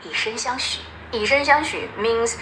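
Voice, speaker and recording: A woman's voice: fairly high pitch, moderate pace, background noise. A woman giving informal explanatory commentary, playfully translating and glossing lines as she goes. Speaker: video essayist explaining lines.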